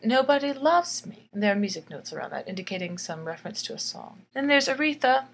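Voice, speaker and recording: A woman's voice: mid-range voice, big pitch swings, quick pace, vocal fry, a bit bright, husky. A woman reading a bedtime story in a theatrical, tongue-in-cheek way, giggly and chatty, repeating phrases for emphasis. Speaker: storyteller and illustrator.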